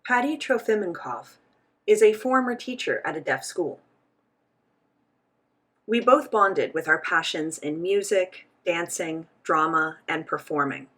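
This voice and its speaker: A woman voicing the interpretation of a signed interview, speaking for both host and guest in a measured first-person delivery. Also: lowish voice, expressive, fairly bright tone.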